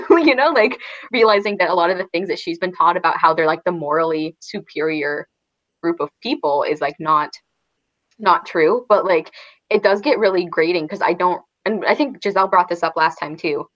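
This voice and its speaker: A woman's voice: fairly low pitch, fairly bright tone, lo-fi sound. A woman chatting casually in a book discussion, thinking aloud in a hesitant way.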